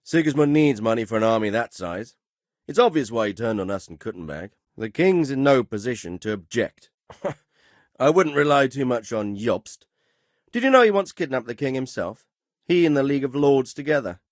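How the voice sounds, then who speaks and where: An actor playing a stern, dry-witted middle-aged medieval lord in a video game, speaking with formal, measured authority and a wry, sardonic edge. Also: male voice, mid-range voice, sing-song, dry recording, lightly acted. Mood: wry, skeptical. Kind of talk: video game dialogue acting.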